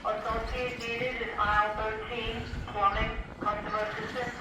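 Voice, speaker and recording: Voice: medium pitch, little pitch movement, moderate pace. A store announcer giving short scripted paging messages over PA speakers, picked up from a distance. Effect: played over store PA speakers.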